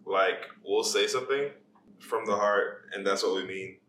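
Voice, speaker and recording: Man, Jamaican accent, very low pitch, bright forceful tone, loose slangy interview chat. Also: a little slow, slight background noise. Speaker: podcast guest, social media page creator. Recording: echoey room.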